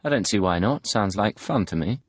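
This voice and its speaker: An actor playing a cool, quietly menacing spy in a video game, with a husky voice and measured, controlled delivery. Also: male voice, fairly low pitch, lively intonation, no echo. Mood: guarded. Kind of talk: dramatic video game acting.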